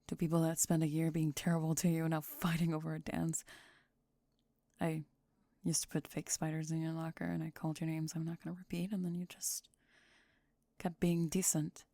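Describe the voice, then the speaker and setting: Woman acting a low, deadpan goth teenage girl. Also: dry recording. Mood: tender, sincere. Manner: deadpan, laconic, understated. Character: calm, deadpan goth teenage girl bully, quietly soft-hearted. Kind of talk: ASMR roleplay acting.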